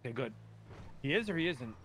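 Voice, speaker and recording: A man's voice: mid-range voice, lively intonation, fast, a bit bright, a little hiss or hum, close to an everyday voice. A man playing a cocky, casual police officer in improvised online game roleplay, talking in blunt slang. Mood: conspiratorial.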